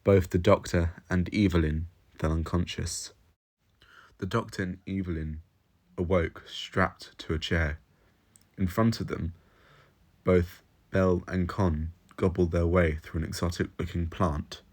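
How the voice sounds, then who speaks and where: A man's voice: low voice, little pitch movement, unhurried, a little mellow. A man reading scripted narration for a short science fiction audio story. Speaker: story reader.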